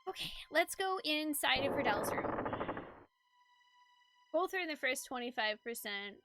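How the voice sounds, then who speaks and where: A woman's voice: sits high, animated, unhurried, a bit bright, a little hiss or hum. A woman streaming a game, chatting playfully and reading the story aloud with expression. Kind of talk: live stream chat mixed with expressive story narration.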